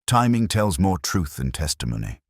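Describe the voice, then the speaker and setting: Man playing a deep, calm police inspector. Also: moderate pace, dry recording, studio quality. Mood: musing. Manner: gentle, quiet, probing. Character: calm, courteous male police inspector, quietly persistent.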